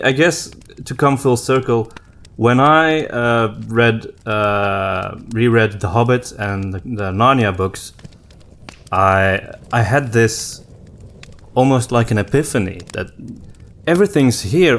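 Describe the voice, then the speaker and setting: A man co-hosting a podcast in casual conversation, with hesitant, halting phrasing and repeated words. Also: mid-range voice. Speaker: podcast co-host and story writer.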